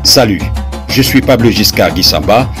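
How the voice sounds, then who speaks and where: A man's voice: on the low side, expressive, moderate pace, music in the background. A man presenting a scripted, formal radio promo for his program. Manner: announcing, formal.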